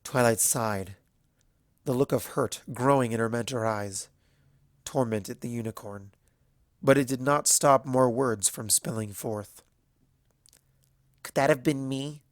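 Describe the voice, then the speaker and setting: Audiobook narrator, medium pitch, scripted fiction reading, very little room sound. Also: a little slow, dry recording, studio quality. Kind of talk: scripted story narration with character voices.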